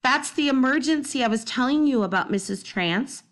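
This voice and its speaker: A woman's voice: high voice, animated, normal speed, voice pushed up. A woman voicing a spirited young schoolgirl in a children's storybook, polite and pleading. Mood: sweet.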